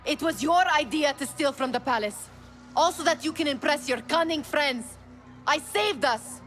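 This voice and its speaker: A female actor playing a sharp, defensive young thief in a dramatic video game scene. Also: sits high, normal speed, faint music behind. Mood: angry, defensive.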